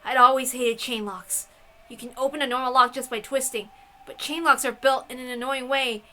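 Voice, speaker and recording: A woman giving a dramatic, theatrical narrated reading of a story in an expressive manner. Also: high voice, moderate pace, a little hiss or hum. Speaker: reader of a visual novel.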